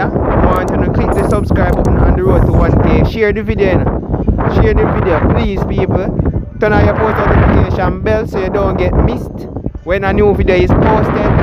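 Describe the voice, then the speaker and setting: A man's voice: sits high, heavy background noise. A young man with a Jamaican accent, hosting casual street interviews for his channel and repeating hype catchphrases. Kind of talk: casual vox-pop interviewing. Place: outdoors on town streets and in shops. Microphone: handheld phone held near mouth. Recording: muffled lo-fi with loud background music.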